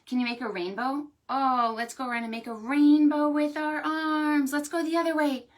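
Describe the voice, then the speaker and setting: A woman hosting a storytime for preschoolers, talking to small children in an animated, sing-song way. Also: high-pitched, normal speed. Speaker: children's librarian and storytime host. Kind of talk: preschool storytime with stretches and songs.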